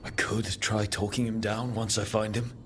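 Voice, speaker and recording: Low-voiced, calm hero mage played by a man. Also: normal speed, a little hiss or hum, lightly acted. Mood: encouraging. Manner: calm, reassuring, plain-spoken. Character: steady, supportive young male mage leader of heroes. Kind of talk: video game character acting.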